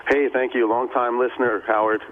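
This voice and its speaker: A man's voice: medium pitch, level tone, brisk. A man calling into a radio show as an enthusiastic fan, chatting casually. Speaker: radio call-in listener. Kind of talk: casual phone-in chat. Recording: tinny phone line, crowd noise behind.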